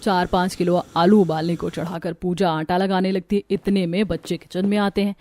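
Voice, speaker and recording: A woman's voice: fairly low pitch, level tone, moderate pace, mellow, faint music behind. A woman reading scripted narration for a cartoon family story.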